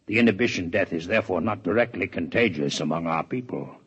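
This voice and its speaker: An actor playing a proud, formal alien male scientist in a 1950s radio drama, with measured, precise diction. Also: low-pitched, breathy edge, full character voice. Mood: grave. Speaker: alien doctor in a radio play. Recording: dull vintage radio recording.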